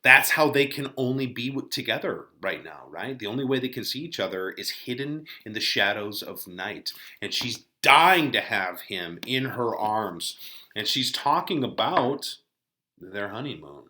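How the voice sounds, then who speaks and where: A man's voice: lowish voice, lively intonation, unhurried, pushed and bright. A man teaching in a casual, informal way, commenting on a play and often checking in with listeners. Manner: informal, checks in with "right?" and "okay?".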